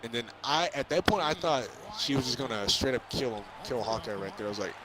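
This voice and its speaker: A young man casually recapping a movie in an excitable, rambling, hesitant way. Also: mid-range voice, fast talker, low-quality recording. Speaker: vlogger reviewing a film. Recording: crowd chatter, bouncing basketball sounds behind.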